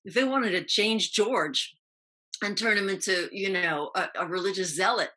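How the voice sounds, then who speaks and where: A woman from New York with an American accent, speaking reflectively as an interview guest in quick, clipped phrases. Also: mid-range voice, sharp tone, slightly rough. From New York, United States. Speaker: guest, actress recalling TV roles.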